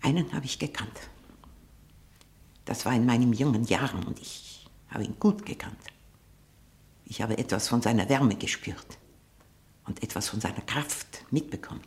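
An actress playing a haughty, sharp-tongued old aunt in a 1960 German radio drama, probing and disdainful, living on her memories. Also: extremely low pitch, moderate pace, long pauses. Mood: nostalgic, proud.

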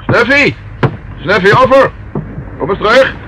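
An actor playing a brisk, commanding and suspicious police inspector in a radio play, giving clipped orders and probing questions. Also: male voice, high voice, animated. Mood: urgent, commanding. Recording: noisy old radio drama recording.

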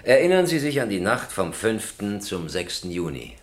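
An actor playing a stern, formal presiding judge who questions with authority in a German radio crime drama. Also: male voice, fairly low pitch, big pitch swings, normal speed. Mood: formal, matter-of-fact. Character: stern, probing male presiding judge in a courtroom.

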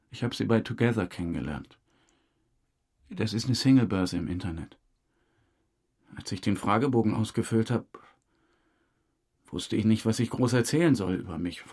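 A man's voice: medium pitch, breathy edge. An actor playing a boastful, weary male suspect who rambles through a confession in a naturalistic radio drama. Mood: resigned, regretful. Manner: rambling confessional monologue, acting out remembered exchanges.

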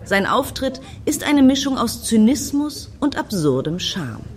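Animated, defiant woman. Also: fairly high pitch, a little slow. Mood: declaiming, brusque. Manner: brusque, assertive. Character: impatient, defiant, self-assured woman in a club queue. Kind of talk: satirical radio play dialogue.

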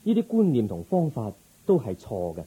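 A man playing a calm, resolute young prince turned monk in a radio drama, speaking in a measured, gentle, formal way. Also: medium pitch, breathy edge, heavily acted, rough recording. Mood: earnest. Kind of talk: Cantonese Buddhist radio drama acting.

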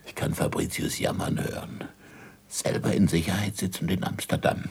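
An older actor playing a weary, disgraced scientist in a German radio play, guarded and bitterly ironic, with a gravelly, often hushed voice. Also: male voice, low-pitched, fairly even intonation, moderate pace, exaggerated voice. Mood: hushed, confiding.

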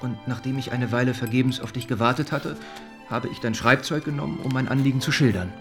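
An actor playing a kind, earnest young gentleman in a radio play, speaking gently and formally. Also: mid-range voice, fast, close to an everyday voice. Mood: warm, slightly awkward. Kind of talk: German radio play acting. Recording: studio radio play with music underneath.

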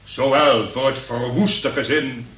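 A man's voice: mid-range voice, steady tone, takes it slowly, fairly bright tone, muffled recording. A man acting a pompous, long-winded examining magistrate in a comic radio play, speaking in ornate legal phrases. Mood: pontificating. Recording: noisy, echoey room, distant from mic.

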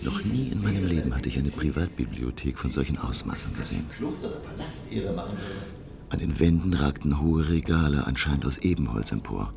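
An actor playing a curious, awestruck male scholar who narrates his undersea voyage in a hushed, deliberate, gravelly voice for a radio play. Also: extremely low pitch, animated, full character voice. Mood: hushed wonder.

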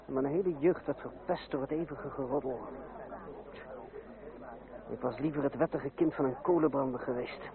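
An actor playing a bitter, ironic young outsider in revolutionary France, in a Dutch radio drama with a dry, sardonic delivery. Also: male voice, medium pitch, normal speed, pauses often, lightly acted. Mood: brooding. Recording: tinny old radio recording, crowd behind.

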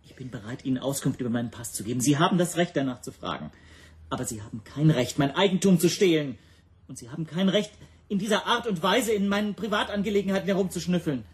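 Indignant, higher-pitched male suspect played by a man. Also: animated, fast, natural voice. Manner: defensive, precise, affronted. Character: indignant Hungarian language teacher under interrogation. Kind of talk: radio play acting.

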